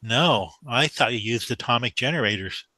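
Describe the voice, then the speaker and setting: A man's voice: mid-range voice, animated, normal speed, pushed and bright, no room sound. A man acting in a radio drama as a polite, earnest young mathematician, naive and hesitant. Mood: wide-eyed, curious. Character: polite, earnest young male mathematician new to the big city.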